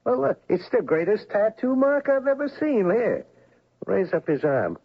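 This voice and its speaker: Streetwise morgue worker: blunt, scornful male, higher-pitched and very animated, muffled vintage radio drama sound. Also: moderate pace, vocal fry, breathy edge, full character voice. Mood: excited. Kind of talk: old-time radio drama acting. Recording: dull lo-fi old radio recording.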